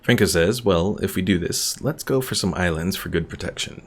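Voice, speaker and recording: A man's voice: sits low, normal speed. A man giving casual, easygoing live game commentary, thinking aloud and reading out chat as a streamer.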